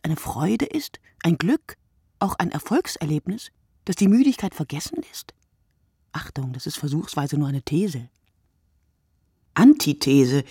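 A woman playing a reflective, poetic narrator in a literary radio play, speaking in a deliberate, declamatory way. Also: lowish voice, a little mellow, breathy edge, exaggerated voice. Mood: emphatic, musing. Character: reflective, poetic female narrator musing on sleep and night.

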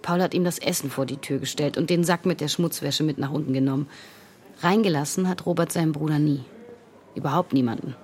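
Actress as grieving young woman, lowish voice, halting, pleading, insistent, naturalistic radio drama. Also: darkish tone, lightly acted. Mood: serious, unsettled. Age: young adult.

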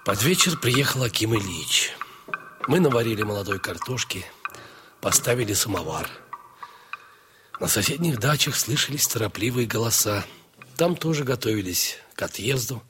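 An actor playing a playful, warm-hearted dog owner who tells his own story in a theatrical, expressive audio dramatization. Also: on the low side, moderate pace, pauses often, noisy background, natural voice. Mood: wistful. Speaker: narrator and dog's owner in a story. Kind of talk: dramatized first-person story narration.

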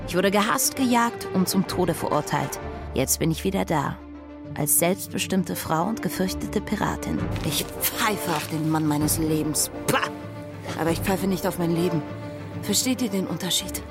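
A woman's voice: on the low side, moderate pace, close to an everyday voice. An actress playing a proud, defiant Caribbean pirate woman, bold and provocative, in a dramatised podcast trailer. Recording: music behind the voice.

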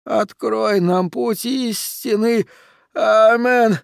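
A dying old man barks orders in a raised, hard-edged voice and then turns to a strained, halting prayer. A male audiobook reader puts him on, recorded dry and close with no room in it. His temper stays stern and unbending. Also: on the high side, animated, darkish tone, dry recording, voice pushed up. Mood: pained prayer. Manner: barks curt orders, then prays through pain.

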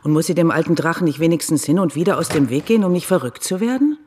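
A woman's voice: deep voice, expressive, fast talker, darkish tone. Opinionated mother, noisy background. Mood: insistent, defensive. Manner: emphatic, opinionated. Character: opinionated small-town mother, outspoken defender of working women. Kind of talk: radio drama acting.

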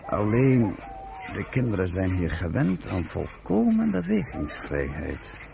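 A genial professor in a radio play, idealistic and earnest. His voice is very deep and creaky, with lively intonation, over a noisy recording with crowd ambience. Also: a little slow, exaggerated voice. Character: genial professor leading a kibbutz children's village, earnest idealist. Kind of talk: Dutch radio play acting.

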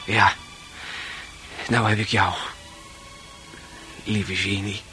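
A man's voice: on the low side, little pitch movement, extremely slow. An actor in a Dutch radio play, playing a naive, heartbroken young man who is shy and stammers hesitantly. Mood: bewildered, tender. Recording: radio play with music behind.

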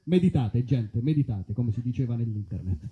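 A man's voice: medium pitch, lively intonation, moderate pace, phone-quality sound. A man narrating a live comedy reading in a theatrical, mock-epic, rambling manner. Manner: theatrical, absurd rambling lists.

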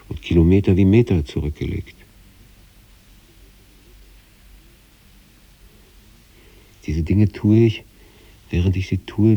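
A man acting an obsessive sanatorium patient in a literary radio monologue, reading out letters to his wife with measured, introspective intensity and a husky, gravelly voice. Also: no echo, far from the mic, lo-fi sound, heavily acted. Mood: fervent, secretive. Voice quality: husky, gravelly, dark low voice.

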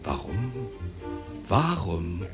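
An actor playing a flamboyant, grandiloquent uncle who performs in a nightclub, in a pompous, theatrical radio play style. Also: male voice, low-pitched, very animated, drawn-out words, heavily acted. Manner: pompous, theatrical, florid declaiming. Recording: music playing behind.

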